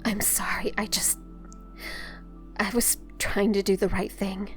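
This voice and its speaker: A woman's voice: medium pitch, level tone, measured pace, whispered, soft background music, natural voice. A woman playing an earnest, shaken young superhero in an audio drama, hesitant and apologetic. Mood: distraught.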